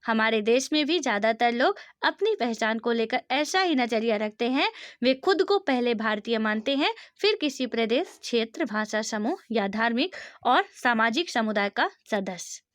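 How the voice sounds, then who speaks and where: A woman teaching in with a high-pitched voice, the sound close and dry with no room echo. She explains through everyday examples and keeps asking rhetorical questions, checking in with her students. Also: expressive, normal speed. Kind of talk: conversational exam-prep lecture.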